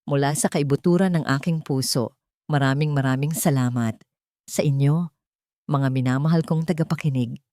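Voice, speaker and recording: A woman storyteller with a fairly low voice, recorded dry and close. She reads a scripted romantic drama with measured, sentimental phrasing, in the manner of an audio storybook. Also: fairly even intonation, warm tone, no room sound. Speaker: storyteller, narrator.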